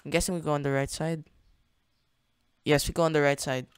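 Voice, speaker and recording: A man's voice: fairly high pitch, level tone, fairly quick, clear, resonant tone, no echo, studio quality. A young man hosting a gaming channel, casually narrating his play in a chatty, slangy way, thinking aloud as he goes. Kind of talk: casual gameplay commentary.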